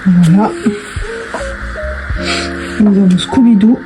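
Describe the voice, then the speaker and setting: A woman's voice: mid-range voice, takes it slowly, low-quality recording. Casual, hesitant woman over music. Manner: informal, hesitant, trailing off and repeating herself. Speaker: video host doing a craft tutorial. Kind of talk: casual chat to viewers while crafting. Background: background music.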